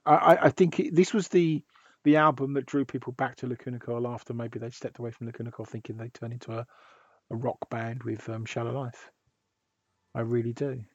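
Husky-voiced man hosting a podcast. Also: medium pitch, fairly even intonation, a little fast, darkish tone, dry recording, dull audio. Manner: rambling, with many restarts. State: hoarse from a sore throat. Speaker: podcast host. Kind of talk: casual podcast chat.

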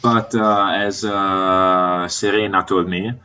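Deep-voiced Italian man, halting and hesitant. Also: little pitch movement, pushed and bright. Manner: hesitant, many "uh" fillers. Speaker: guest fan. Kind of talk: casual podcast chat.

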